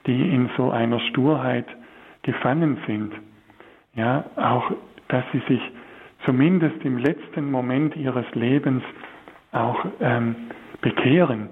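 Slow, husky priest. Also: male voice, mid-range voice, phone-quality sound. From Swabia, Germany. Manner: slow, searching, many hesitations. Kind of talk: spiritual talk on a religious broadcast.

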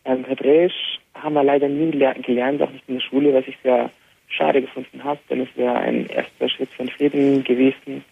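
Gravelly young man on a tinny phone line. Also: mid-range voice, a little hiss or hum. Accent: Austrian German. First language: Arabic. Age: young adult. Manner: relaxed, many filler pauses. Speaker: interview guest, band musician. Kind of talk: phoned-in radio interview. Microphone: telephone.

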